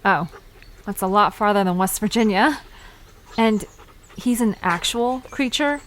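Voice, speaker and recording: A woman playing a curious, friendly young park visitor in an audio drama, inquisitive and expressive in a conversational way. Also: medium pitch, unhurried, close to an everyday voice.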